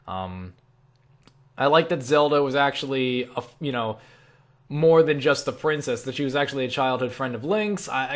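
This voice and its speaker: A young man chatting casually and opinionatedly about games, cursing freely and halting and repeating himself as he rants in exasperation. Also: mid-range voice, a bit bright. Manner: profane, exasperated ranting, halting and repetitive. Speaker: gaming video creator answering questions. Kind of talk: casual, rambling opinionated chat.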